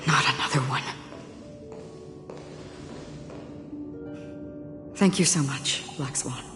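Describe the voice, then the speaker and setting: Calm serious game lady, low voice, formal and polite, echoey w faint music. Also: brisk. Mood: relieved, grave. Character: composed, courteous woman guide, serious and reassuring. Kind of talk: scripted game voice acting.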